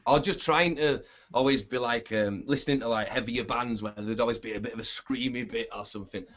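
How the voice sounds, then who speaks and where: A male band singer with a scratchy, raspy voice, chatting in a laid-back, rambling way in an interview and swearing casually. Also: medium pitch, a little fast, fairly bright tone. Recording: dull lo-fi sound.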